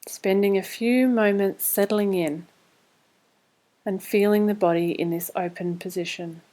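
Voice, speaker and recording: A woman leading a guided body scan meditation, giving scripted instructions in a calm, measured, soothing way. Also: medium pitch.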